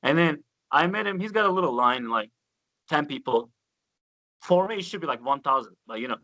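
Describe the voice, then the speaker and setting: A man with a Turkish accent talks bass playing with excited, story-driven energy. His voice is bright and forceful, recorded dry and close with a slightly dull, muffled sound. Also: a little fast, no room sound. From Turkey. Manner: enthusiastic storyteller, loose grammar, swears casually. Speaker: guest bassist. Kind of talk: casual interview chat.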